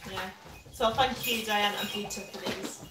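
Dry-humoured woman, a bit high, off-mic in an echoey noisy room. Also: steady tone, a little slow, recorded from a distance. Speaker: vlogger. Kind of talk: casual live-stream chat. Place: living room, indoors.

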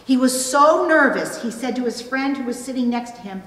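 A middle-aged woman pastor preaching a sermon, phrasing deliberately and pausing for emphasis. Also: sits high, animated, a bit bright. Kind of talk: sermon with illustrative stories. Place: church pulpit indoors, recorded from a distance. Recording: echoey hall, long reverb.

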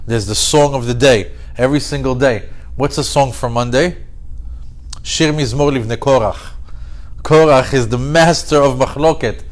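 A man's voice: mid-range voice, moderate pace. Man teaching a religious lesson like a storyteller. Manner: conversational storytelling, rhetorical questions, repeats phrases for emphasis. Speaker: religious teacher giving a lecture. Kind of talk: animated Torah lesson with acted-out dialogue.

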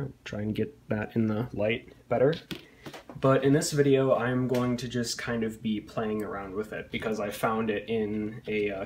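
A man's voice: fairly low pitch, darkish tone. A young man with a congested cold voice, rambling casually through a tech vlog with lots of fillers. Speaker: tech YouTuber. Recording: echoey room with faint noise.

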